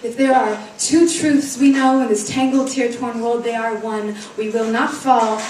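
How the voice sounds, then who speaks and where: A woman performing spoken word poetry in a dramatic, deliberate manner, drawing out words for emphasis. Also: on the high side, fairly even intonation, darkish tone. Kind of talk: slam poetry recitation. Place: echoey room, recorded at a distance. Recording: echoey room from far off, faint noise.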